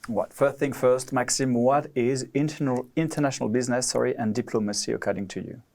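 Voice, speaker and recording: Brisk male interviewer. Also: medium pitch, steady tone, darkish tone. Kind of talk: hosting an interview.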